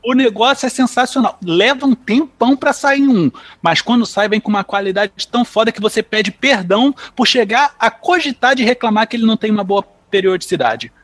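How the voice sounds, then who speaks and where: High-pitched Brazilian man chatting on a podcast. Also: lively intonation, brisk, few pauses, a bit bright, dry recording. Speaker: podcast panelist. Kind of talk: casual podcast chat.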